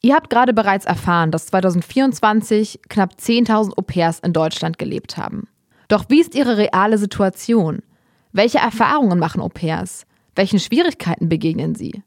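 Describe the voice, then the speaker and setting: A woman host reads short news items for a podcast in a steady, read-aloud manner. Her voice sits at an ordinary middle pitch. The sound is dry and close, with no room around it. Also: no room sound. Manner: even, read-aloud delivery. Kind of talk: scripted news reading, then interview.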